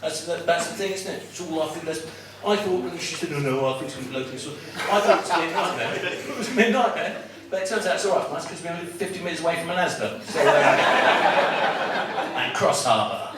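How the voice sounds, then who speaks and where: A high-voiced man working the crowd as a comedy club MC, chatty and teasing, full of little restarts. He is heard from well back in a very echoey room, with loud noise under the voice. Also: expressive, fast, recorded from a distance. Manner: chatty, teasing audience banter with many restarts. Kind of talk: stand-up compering and crowd work. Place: comedy club with live audience.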